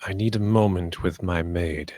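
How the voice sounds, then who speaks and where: A man performs a protective master of the house in a dramatic table read. His voice is on the low side. He is composed, with understated authority, in a dry recording. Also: normal speed, mellow, dry recording, close to an everyday voice.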